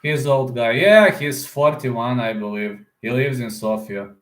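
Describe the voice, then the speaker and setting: A man's voice: mid-range voice, unhurried, a bit bright. Rambling man on a live stream, roomy echo. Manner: rambling, answers viewer comments aloud; repeats words, often ends with "man". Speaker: combat sports YouTuber. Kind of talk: casual live stream chat.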